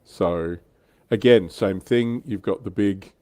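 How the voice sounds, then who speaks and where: A man with a low, mellow voice talks through history like a livestream host. His delivery is informal and keen, recorded close and dry. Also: takes it slowly, dry recording. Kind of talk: casual explanatory history chat.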